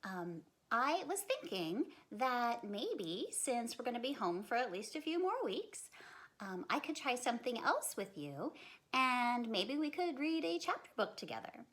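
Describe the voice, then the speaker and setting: A woman's voice: on the high side, very animated, unhurried, fairly bright tone. A woman hosting a read-aloud, narrating a storybook expressively and talking directly to young children.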